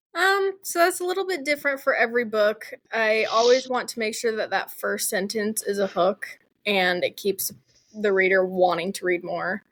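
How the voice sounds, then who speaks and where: A woman's voice: mid-range voice, moderate pace, fairly bright tone. A woman speaking as a guest author in a casual interview, chatty and informal, with plenty of "like" and "you know".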